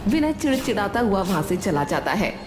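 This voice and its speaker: A woman's voice: mid-range voice, sing-song, brisk, roomy sound, background noise. A woman reading scripted narration as the storyteller of a moral tale.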